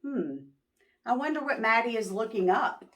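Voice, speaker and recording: A woman reading a picture book aloud to young children as host, expressive and pausing to ask questions. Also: on the high side.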